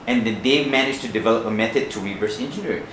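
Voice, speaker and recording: A man who grew up in Hawaii hosting a podcast interview, asking questions and telling stories with lively enthusiasm. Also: lowish voice, very fast. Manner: enthusiastic, animated storyteller. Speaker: podcast host. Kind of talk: casual interview conversation. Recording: roomy room with faint noise.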